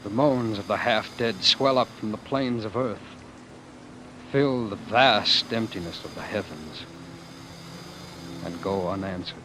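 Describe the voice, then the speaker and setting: An actor playing a weary, haunted man in a grave, halting 1940s radio drama monologue, on an old broadcast recording. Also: lowish voice, background noise, natural voice. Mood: anguished, bleak. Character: weary, haunted man narrating a dying world.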